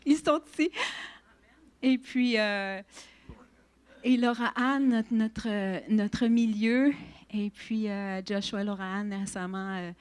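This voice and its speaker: A woman's voice: mid-range voice, slow pace, pauses often, clear, resonant tone. A woman in her fifties with a Québécois accent, introducing herself as a guest speaker at church, chatty with frequent fillers and restarts. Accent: Québécois French. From Quebec. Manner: warm, chatty, laughs often, many restarts and fillers.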